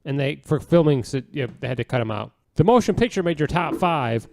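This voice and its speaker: A man hosts a casual panel chat at a brisk clip. His voice sits a little high, and the sound is dry, with no room on it. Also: animated, vocal fry, no room sound. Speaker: podcast host.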